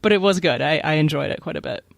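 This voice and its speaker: Female podcast co-host, conversational, lowish voice in a very dry, close recording. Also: fast talker, gravelly, no echo. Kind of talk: casual comics chat.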